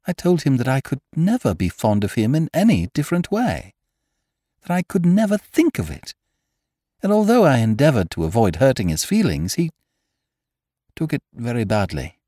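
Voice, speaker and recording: A man's voice: mid-range voice, sing-song, normal speed, dark tone, no room sound, clean studio sound, voice pushed up. A man reading an audiobook, voicing a troubled young woman who hesitates and grows frightened as she speaks. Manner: hesitant, trembling, rising to alarm. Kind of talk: audiobook character voice.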